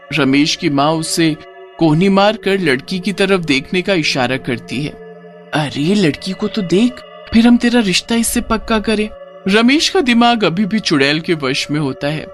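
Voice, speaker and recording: A man's voice: fairly high pitch, fairly bright tone. A man narrating a scripted, dramatic story as a storyteller.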